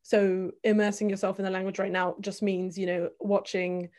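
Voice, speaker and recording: A young woman talks in a relaxed group chat. Her voice sits at a middle pitch, and she pauses often with ums and restarts as she thinks things through. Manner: thoughtful, lots of fillers and restarts. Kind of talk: casual chat.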